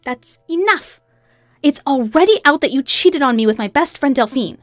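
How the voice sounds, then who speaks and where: A woman voicing an indignant, scornful betrayed wife in a narrated story, sarcastic and forceful. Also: high-pitched, big pitch swings, moderate pace, dry recording, clean studio sound, pitched above the performer's own voice. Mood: angry.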